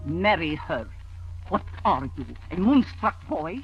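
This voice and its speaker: A woman's voice: fairly low pitch, big pitch swings, slow pace, soft background music. An actress playing a cold, suspicious, domineering older mother in 1940s radio drama style. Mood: scornful, suspicious. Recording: muffled vintage radio broadcast.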